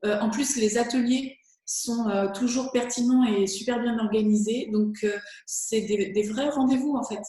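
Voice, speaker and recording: French woman, guest speaking coach, slightly high, eager, very echoey room. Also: fairly even intonation, normal speed, few pauses, warm tone. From France. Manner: enthusiastic, fluent with occasional fillers. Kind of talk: interview answers.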